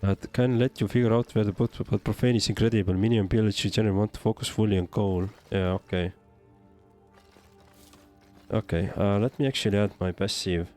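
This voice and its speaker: A man's voice: lowish voice, fairly even intonation, fairly quick, a little mellow, soft background music. A man from Estonia with an Estonian accent, giving casual livestream game commentary and answering chat in a hesitant, thinking-aloud way. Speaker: game streamer.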